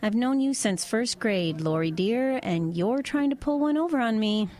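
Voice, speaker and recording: Audio drama role: nosy, plain-spoken small-town woman, played by an actress, higher-pitched, very animated delivery, folksy and pushy. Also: normal speed, close to an everyday voice. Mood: skeptical, pressing. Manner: pressing, plain-spoken, exasperated folksy banter. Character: blunt, nosy small-town woman, narrator of a ghost story.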